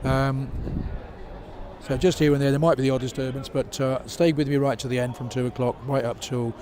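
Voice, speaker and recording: A hoarse man who is losing his voice, chatting casually with many fillers as he introduces a rugby match from a seat in the stand. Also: medium pitch, little pitch movement, a little fast. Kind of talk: solo pre-match commentary for an online stream. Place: seated in a stand at an outdoor rugby ground. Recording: crowd noise from a rugby stand. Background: crowd of spectators.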